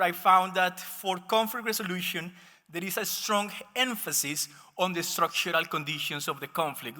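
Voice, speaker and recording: A man's voice: high-pitched, bright, forceful tone. A man with a Colombian accent, a native Spanish speaker, giving an explanatory academic presentation and often correcting himself. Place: conference session room, speaking to an audience. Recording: reverberant conference hall.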